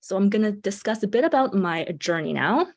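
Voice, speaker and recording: A woman's voice: mid-range voice, big pitch swings, a little fast. Upbeat young woman giving an online talk. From China. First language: Mandarin Chinese. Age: 20s. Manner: upbeat, with frequent um and uh fillers. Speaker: presenter. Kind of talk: online presentation. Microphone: video call.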